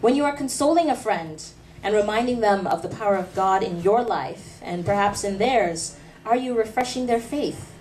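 A woman's voice: mid-range voice, lively intonation, moderate pace, hardly any pauses, a little mellow, far from the mic, rough recording. A woman in her thirties, a spoken word artist, gives a testimony talk in a small pub room. Her delivery is earnest and rhythmic, built on rhetorical questions with light asides. The recording picks her up from across the room through a camera mic, with a faint hiss. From Canada. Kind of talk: faith talk with poetry reading. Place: small dim pub room, seated before a live audience. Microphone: handheld mic held close.

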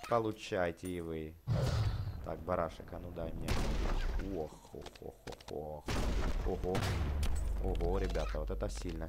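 A man giving excited, chatty game commentary for children, exclaiming, repeating words and addressing his viewers. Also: sits low, heavy background noise. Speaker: gaming channel host.

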